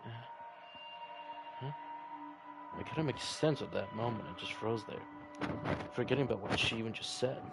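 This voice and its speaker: A man's voice: fairly low pitch, normal speed, creaky, pauses often. A man reading a visual novel aloud in an informal, chatty playthrough style, rambling a little. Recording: music playing behind the voice. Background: background music.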